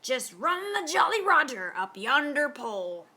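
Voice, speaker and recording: A woman's voice: high-pitched, sing-song, unhurried, pushed and bright, full character voice. A woman voicing a hearty, bossy male pirate captain, bellowing orders in storybook pirate talk.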